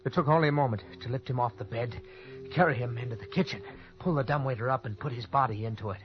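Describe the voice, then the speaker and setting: A man's voice: mid-range voice, quick pace, lightly acted. An actor playing a panicked young man who narrates his own desperate cover-up in old-time radio suspense style, tense and stammering when pressed. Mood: tense narrating. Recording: dull old radio recording, loud music.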